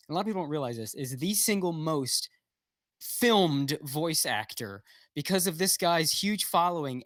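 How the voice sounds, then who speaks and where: Male guest, slightly high voice, rambling and blunt, dry video-call audio. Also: a bit bright, dry recording. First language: English. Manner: rambling, frequent fillers and restarts, blunt with profanity. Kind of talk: casual livestream chat.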